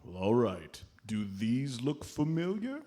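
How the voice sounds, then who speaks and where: A man playing a jolly, avuncular Santa Claus, gently scolding and fond, in a live comedy radio play. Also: medium pitch, sing-song, takes it slowly, slight background noise. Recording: live stage comedy show recording.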